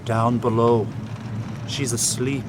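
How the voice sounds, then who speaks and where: An actor playing a gallant, easygoing, flirtatious boat mate in an audio drama. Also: male voice, mid-range voice, flat delivery, slow, noisy background, natural voice. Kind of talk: full-cast audio drama acting. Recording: music behind the voice.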